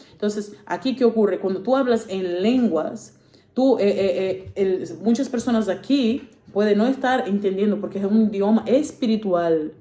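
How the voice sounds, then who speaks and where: A woman whose first language is Portuguese, preaching informally and earnestly, often pausing on fillers and correcting herself. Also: mid-range voice, dark tone, reverberant.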